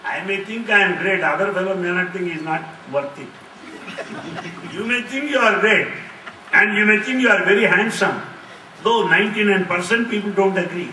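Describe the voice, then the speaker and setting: A middle-aged man answering audience questions in a lively talk, repeating key phrases and laughing often. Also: high-pitched, moderate pace. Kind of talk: lively lecture with jokes. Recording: echoey hall, distant mic, faint hiss.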